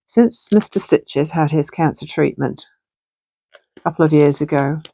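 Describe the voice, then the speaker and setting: A middle-aged woman with a gravelly, hoarse voice, chatting casually and thinking aloud as she makes something at home. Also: low voice, unhurried, warm tone. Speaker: crafting channel host. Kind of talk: casual crafting tutorial chat. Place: home sewing room.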